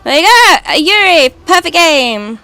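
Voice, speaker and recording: A British woman with a high voice, streaming and chatting casually while gaming, rambling and swearing now and then. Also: big pitch swings, a little slow, pushed and bright. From Britain.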